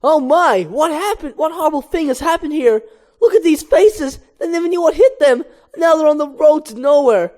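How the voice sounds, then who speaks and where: Woman putting on a shrill, frantic, loudmouthed mosquito guy. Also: lively intonation, slow pace, exaggerated voice. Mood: aghast. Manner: yelling, impersonating a brash comedian. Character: frantic, loudmouthed male mosquito, wild and streetwise. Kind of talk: animated film script read-through.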